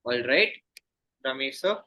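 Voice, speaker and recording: A man's voice: medium pitch, lively intonation, unhurried, pushed and bright. A man reading quiz questions aloud as quizmaster, formal and courteous in manner.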